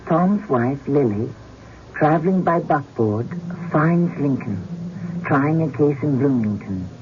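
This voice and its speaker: A man's voice: on the high side, animated, takes it slowly. A man giving scripted, theatrical narration as the host of a radio drama. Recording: dull, noisy old radio broadcast.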